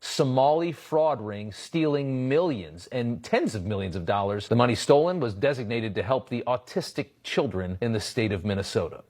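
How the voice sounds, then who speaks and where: A man presenting a news segment with a measured, emphatic read. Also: medium pitch, a little mellow.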